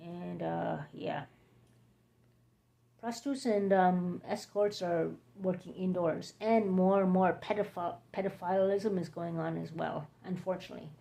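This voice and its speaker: A woman with a hoarse, scratchy throat, hosting a rambling late-night livestream chat from home, halting and drifting between topics. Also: fairly low pitch, steady tone, warm tone. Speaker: livestream host.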